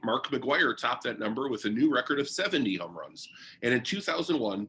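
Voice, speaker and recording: Bright-voiced man reading aloud, lively pitch. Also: poor audio. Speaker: reader of a biography. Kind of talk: read-aloud biography narration.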